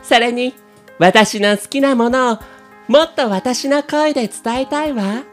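A man's voice: extremely high pitch, measured pace, soft background music, pitched above the performer's own voice. A man playing an earnest, brave heroine who leads her comrades in an amateur radio drama. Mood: tender, wistful.

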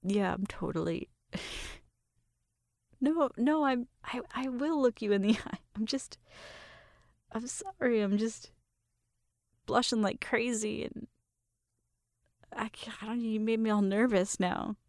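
A woman's voice: a little high, slow, long pauses, a little mellow, dry recording, clean studio sound. A woman playing a shy, smitten young roommate in an intimate roleplay, nervous and stammering as she talks to the listener. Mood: bashful, nervous. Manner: nervous, stammering, giggly, self-interrupting.

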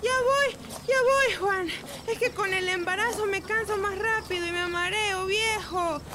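A woman's voice: extremely high pitch, unhurried, heavy background noise. A woman acting a tired, humble Mexican peasant wife in an amateur radio drama, with rustic folksy speech. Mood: tired, pleading.

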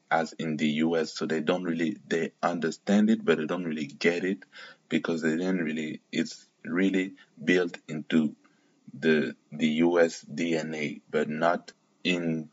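Man from Cameroon, very low husky voice, rambling opinionated recap, dull lo-fi sound. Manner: rambling, self-correcting, opinionated. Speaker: reality TV recap vlogger. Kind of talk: casual spoken recap.

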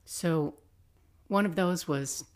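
An older woman hosting a podcast, telling personal stories in a casual, reflective, confiding and expressive way. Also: lowish voice, slow pace. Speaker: podcast host.

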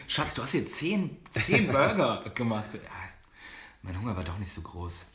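A man hosting a casual chat, joking and animated, using slang and laughing often. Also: medium pitch, measured pace, a little hiss or hum. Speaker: podcast host. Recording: roomy echo.

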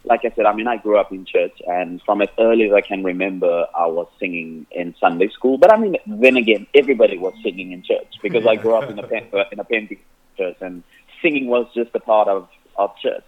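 A man's voice: on the low side, fast. Man with a dull radio sound. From South Africa, Cape Flats. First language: Afrikaans. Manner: rambling, many restarts, laughs often. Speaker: musician guest. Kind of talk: casual interview answers.

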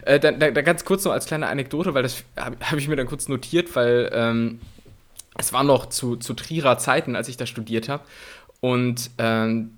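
A man's voice: mid-range voice, fairly even intonation, normal speed, fairly bright tone. A young man co-hosting a podcast, telling rambling anecdotes in casual, slangy language. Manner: slangy, colloquial storytelling. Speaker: podcast co-host.

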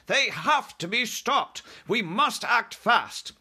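Man playing a high-pitched, commanding, regal queen. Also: animated, bright, forceful tone, breathy edge, heavily acted. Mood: resolute. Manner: regal, decisive, measured. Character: composed, commanding queen, firm and principled. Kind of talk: storybook character voice.

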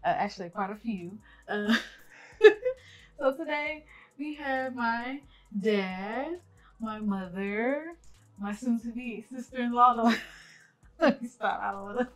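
A woman's voice: a little high, slow, long pauses. Giggly young woman hosting a podcast. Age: 20s. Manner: giggly and friendly, laughs often. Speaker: podcast host. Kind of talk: casual family podcast.

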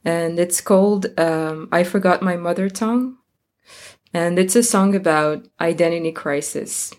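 A woman's voice: fairly low pitch, a little slow, darkish tone. A woman guest musician answering interview questions tentatively, often trailing off with uncertainty.

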